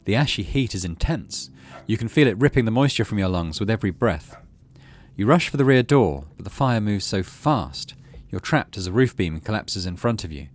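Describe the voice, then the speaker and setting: A man hosting a let's play, commenting on a game and reading its text aloud. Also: sits low. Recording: clear background noise.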